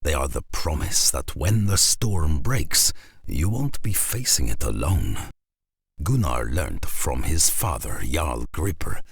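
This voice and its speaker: An actor playing a grave, weathered Norse saga storyteller in a cinematic video game, speaking deliberately with a husky, gravelly voice. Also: male voice, extremely low pitch, sing-song, dry recording, studio quality, exaggerated voice. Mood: solemn, ominous. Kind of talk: scripted cinematic game narration.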